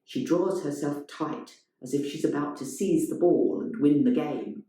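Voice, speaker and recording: A woman's voice: deep voice, unhurried, warm tone. A woman reading a scripted story as dramatic narration. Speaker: reader of a play-like story. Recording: very echoey, reverberant room.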